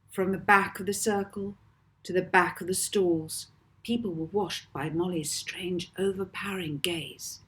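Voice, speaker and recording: A woman reading a children's novel aloud in a measured, theatrical way with deliberate emphasis. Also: medium pitch, fairly bright tone. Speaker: author reading own novel. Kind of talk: dramatic audiobook narration of a children's story.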